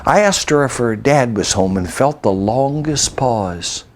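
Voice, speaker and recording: An older American man recites a rhyming narrative poem in a measured, reflective storytelling manner. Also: medium pitch, animated. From United States. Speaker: storyteller and poet.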